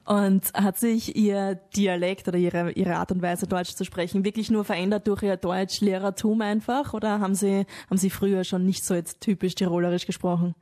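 A woman's voice: medium pitch, little pitch movement, moderate pace, few pauses, mellow. A woman interviewing a guest, asking friendly, probing questions and often ending with a tag question. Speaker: interviewer, reporter.

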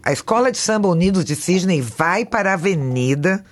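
A host chatting with a guest and reading out viewer comments. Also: female voice, fairly low pitch, lively intonation, fairly quick. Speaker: radio or live-show host. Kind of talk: casual interview chat.

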